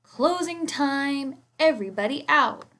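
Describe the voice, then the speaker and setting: A woman voicing a brisk, helpful laundromat manager in a storybook, calling out. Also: high-pitched, expressive, bright, forceful tone, voice pushed up, heavily acted. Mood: announcing.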